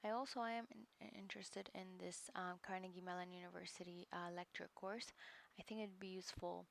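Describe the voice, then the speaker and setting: A woman with a low speaking voice chatting casually on a live stream as she works through a course, hesitant and full of fillers and muttered asides. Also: fairly even intonation, brisk, vocal fry, a little hiss or hum. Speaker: livestreamer studying a course.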